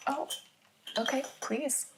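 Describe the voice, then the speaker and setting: A woman playing a calm, patient detective in a soft-spoken ASMR roleplay, often dropping into a whisper as she gently probes and reassures. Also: slow, noisy background, lightly acted. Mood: patient, reassuring.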